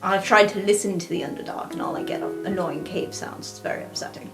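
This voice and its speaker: A woman's voice: mid-range voice, fast talker. A woman playing a tabletop roleplaying game, talking in casual improvised chat. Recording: roomy sound under music.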